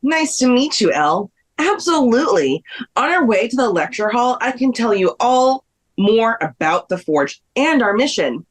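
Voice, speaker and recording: A woman's voice: on the high side, sing-song, normal speed. A woman playing a helpful museum workshop instructor, welcoming and explanatory, in a table read. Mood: obliging.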